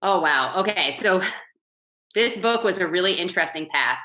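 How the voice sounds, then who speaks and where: A woman's voice: lively intonation, moderate pace, bright, forceful tone. A middle-aged woman from New York, a coach and author, telling her story in a casual, earnest, chatty way as a podcast guest. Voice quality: low voice. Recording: echoey room.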